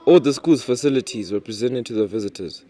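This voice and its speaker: A man's voice: medium pitch, quick pace, dark tone. A man presenting a school newsreel in scripted narration.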